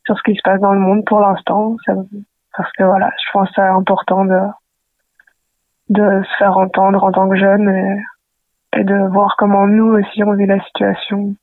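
A woman's voice: mid-range voice, completely flat delivery, moderate pace, no echo, phone-quality sound. A woman in her twenties from Belgium, talking hesitantly with many fillers in a personal voice message. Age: 20s. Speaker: student sending a message.

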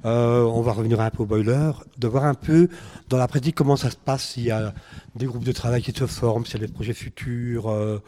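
A man's voice: medium pitch, fairly even intonation, brisk, warm tone. A man interviewing squat residents, asking long rambling questions full of fillers. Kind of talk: casual interview.

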